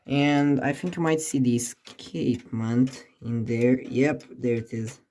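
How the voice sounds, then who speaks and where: Slow-paced hobbyist musing over a clock. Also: mid-range voice, warm tone, a little hiss or hum. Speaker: clock collector presenting a clock. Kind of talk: casual hands-on demonstration. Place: indoors beside a ticking clock.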